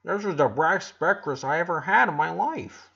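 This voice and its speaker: A man acts out a kind, eager young boy of eleven in a storybook reading. The voice is husky, with an earnest, reassuring and slightly hesitant manner. The recording sounds a bit dull. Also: a little high, lively intonation, moderate pace, bright, forceful tone, pitched above the performer's own voice, full character voice. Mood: eager, delighted.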